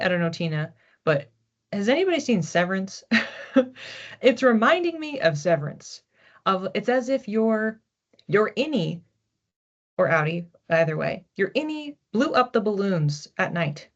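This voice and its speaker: A woman giving a psychic reading, musing aloud and often repeating words as she searches for what comes through. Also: mid-range voice. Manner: hesitant, repeats words while searching for images. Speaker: psychic reader. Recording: dull lo-fi recording.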